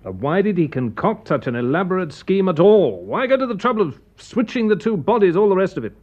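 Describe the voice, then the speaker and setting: An actor playing a plain-spoken police detective in a radio drama, direct and insistent. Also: male voice, high voice, big pitch swings, a little fast, darkish tone, highs cut off. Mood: puzzled. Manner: direct, questioning. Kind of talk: classic radio drama acting.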